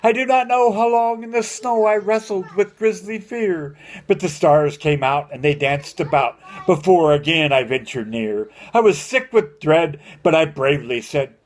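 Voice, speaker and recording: A man's voice: on the high side, normal speed. An older man reading a narrative poem aloud as a grandfather would, with a rhythmic, theatrical storytelling delivery. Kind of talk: dramatic amateur poem recitation.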